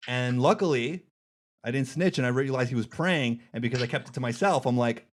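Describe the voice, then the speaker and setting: A man with a mid-pitched voice hosts a comedy podcast. He talks casually, like someone trading jokes with a guest. His delivery is wry and irreverent, with loose, unfiltered banter. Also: fairly quick. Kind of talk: joking casual interview.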